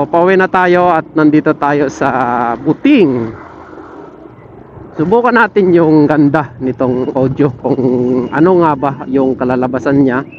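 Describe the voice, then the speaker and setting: Male moto vlogger, casual and chatty, helmet intercom sound slightly muffled over faint road noise. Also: a little high. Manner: friendly, addressing viewers with shoutouts. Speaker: motorcycle vlogger. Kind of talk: casual vlog chat while riding. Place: outdoors on a motorcycle in evening city traffic. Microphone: helmet intercom linked to action camera. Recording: muffled audio with traffic and engine noise. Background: vehicle and street noise.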